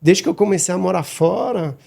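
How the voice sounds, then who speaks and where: A middle-aged man, a guest on a podcast, talking casually and haltingly with many restarts and repeated words. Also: high-pitched, expressive, vocal fry, mellow. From Madagascar. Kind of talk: casual interview talk.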